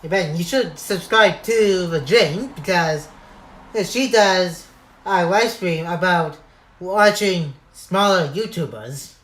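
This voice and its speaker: Young guy on a call running his stream, talks slow, short answers. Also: high-pitched, a bit bright, low-quality recording. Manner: short replies, unhurried. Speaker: live stream host. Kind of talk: casual chat on a live stream.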